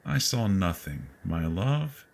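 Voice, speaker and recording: A man's voice: deep voice, very animated, slow pace, creaky, voice pushed up, heavily acted. A man voicing a scheming, condescending husband in a horror story, exasperated with his wife. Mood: falsely sweet.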